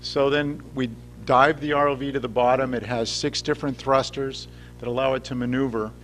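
A man giving a public science lecture, laying things out clearly and asking the audience questions. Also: medium pitch, little pitch movement, normal speed, a bit bright, slight background noise. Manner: clear, explanatory, addresses the audience directly. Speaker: guest lecturer. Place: lecture hall.

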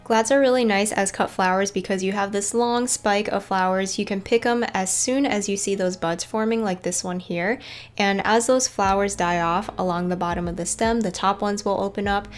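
A woman's voice: mid-range voice, fairly even intonation, normal speed. A woman narrating a casual garden tour in a chatty, informal way. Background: background music.

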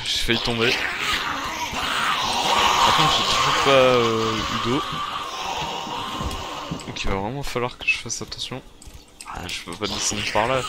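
A man's voice: medium pitch, heavy background noise. A man improvising in-character commentary on a survival game, muttering to himself and repeating his phrases. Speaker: gamer playing a zombie survival roleplay series.

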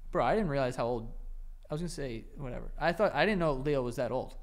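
A man's voice: medium pitch, animated, fast talker. A man co-hosting a podcast, reading out facts and joking in casual chat. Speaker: podcast co-host.

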